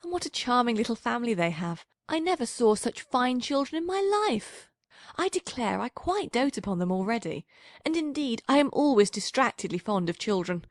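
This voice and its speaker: A woman's voice: fairly high pitch, big pitch swings, normal speed, dry recording, studio quality, voice pushed up. A woman reading an audiobook, voicing a gushing, chatty young woman with an effusive manner. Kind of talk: audiobook character voice.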